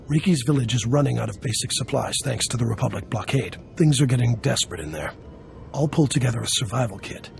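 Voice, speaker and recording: Gravelly, wry underworld dealer. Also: male voice, mid-range voice, darkish tone, slight background noise.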